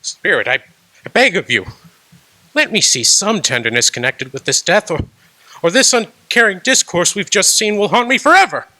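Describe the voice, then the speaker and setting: A frightened old miser stammers and begs a silent spirit, voiced by a man. His voice runs high and bright, leaping up and down as he pleads. A stage radio play read with halting urgency. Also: big pitch swings, heavily acted, low-quality recording. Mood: anguished. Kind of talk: amateur radio drama acting.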